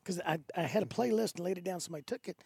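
An excitable man hosting a chat, with a gravelly, husky voice pitched fairly high for a man. He talks with plenty of enthusiasm. The sound is dry and close. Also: racing, dry recording. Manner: enthusiastic, says "dude" a lot. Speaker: interview host and concert organizer. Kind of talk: casual interview chat.

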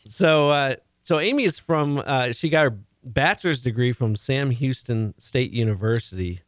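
A man's voice: medium pitch, moderate pace, no echo, studio quality. A man with a Chicago accent hosting a radio talk show, chatty and jokey, stumbling and restarting now and then.

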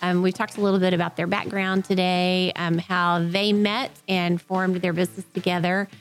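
A woman's voice: on the low side, moderate pace, faint music behind. A woman hosting a radio talk show, introducing guests and prompting them with frequent "mm-hmm"s. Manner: steady backchanneling, frequent "mm-hmm". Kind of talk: radio interview.

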